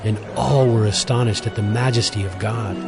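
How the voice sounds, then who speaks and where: A man narrating scripture from a script as a measured storyteller. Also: lowish voice, fairly even intonation, vocal fry. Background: music.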